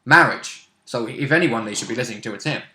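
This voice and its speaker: A man chats about a tabletop role-playing game from a player's side. His voice is bright and forceful at a middling pitch. He talks informally and bluntly, with casual swearing. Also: animated, quick pace, echoey room.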